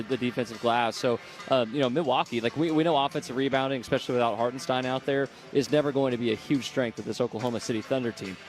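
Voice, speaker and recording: A man analyses a basketball game live in a fast, conversational flow, doubling back on words as he thinks. His pitch is average, and there is music faintly behind him.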